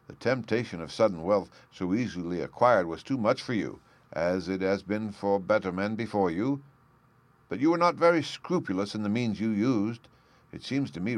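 A suave gentleman detective from a storybook, acted out by a man. He speaks precisely and knowingly, with genteel command, in a close, dry sound. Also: fairly low pitch, no echo.

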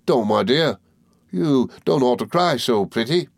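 A man's voice: medium pitch, expressive, measured pace, creaky, no echo, clean studio sound, pitched above the performer's own voice. A man voicing a devoted, humble working-class young man in a storybook, soothing and earnest, speaking in rustic dialect. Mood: tender soothing.

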